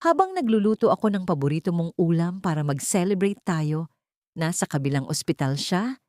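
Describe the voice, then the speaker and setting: A woman's voice: lowish voice, lively intonation, moderate pace, dark tone, no room sound, studio quality, close to an everyday voice. A woman voicing a wealthy betrayed wife in an audio drama, icy, scornful and vengeful. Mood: cold, mocking. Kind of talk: dramatic audio story dialogue.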